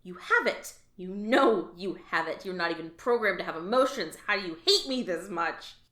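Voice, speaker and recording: A woman's voice: lowish voice, lively intonation, normal speed, a bit bright, reverberant. A woman playing a frazzled alien office worker, exasperated and sarcastic. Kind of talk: audio drama.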